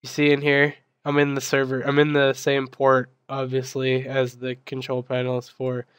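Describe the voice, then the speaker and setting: A young man, his voice still weak from being sick, walks through a software tutorial in a casual way, full of ums and restarts. His delivery stays very flat and monotone, and the sound is smooth and clear. The recording is dry, close, and without room echo. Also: mid-range voice, moderate pace. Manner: casual, filler-heavy explaining with restarts. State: recovering from losing his voice. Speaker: server admin giving a tutorial.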